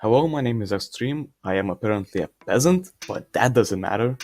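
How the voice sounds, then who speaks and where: A brash, self-serving peasant, played by a man, talks in blunt slang with casual cockiness. Soft music plays behind the voice. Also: mid-range voice, animated, a little fast, lightly acted. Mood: casual, callous. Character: brash, selfish peasant man dreaming of ruling. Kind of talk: in-character answers to read-out questions.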